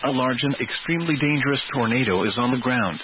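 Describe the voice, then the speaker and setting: Male weather-radio announcer. Formal, clipped, synthetic-sounding bulletin read, tinny narrowband signal with clear noise. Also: medium pitch, quick pace. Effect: weather radio broadcast. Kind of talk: scripted emergency weather bulletin.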